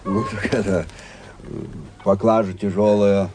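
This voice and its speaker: An actor playing a kindly older army staff captain with folksy, familiar speech and excitable outbursts in a radio play. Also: male voice, lowish voice, slow, noisy background. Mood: easygoing, folksy.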